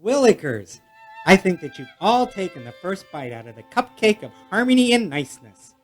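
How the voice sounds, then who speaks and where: An earnest, squeaky-clean space hero played by a man from a retro radio serial parody. He declaims corny lines with animated, swinging pitch and impeccable manners, over faint music. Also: normal speed. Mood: upbeat, moralizing. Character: earnest, wholesome boyish space hero, gee-whiz good manners.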